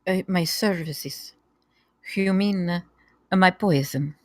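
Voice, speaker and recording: A woman's voice: lowish voice, takes it slowly, a little mellow, no room sound, clean studio sound. A woman at a live table read playing a playfully menacing nineteen-year-old poisoner. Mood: cool menace.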